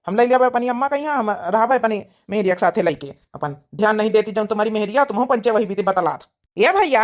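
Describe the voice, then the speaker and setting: A woman's voice: sits high, lightly acted. A woman voicing an indignant grown village son in a comedy cartoon, scolding and arguing in an Awadhi accent. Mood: angry. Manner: scolding, argumentative. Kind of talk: comedy cartoon family quarrel.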